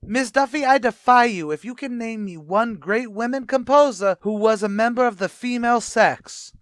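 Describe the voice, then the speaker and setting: A man's voice: very high-pitched, moderate pace, a bit bright, no room sound, studio quality. A man playing a boastful, wisecracking tavern manager in an old-time radio comedy, with a pompous, blustery delivery.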